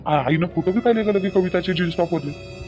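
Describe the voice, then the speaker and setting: A man's voice: high-pitched, fast talker, a little mellow, faint music behind, natural voice. A man playing an agitated young newlywed husband in a family drama, repeating himself, with a Varhadi Marathi accent. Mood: worried. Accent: Varhadi Marathi of Vidarbha. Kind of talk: amateur family comedy-drama dialogue.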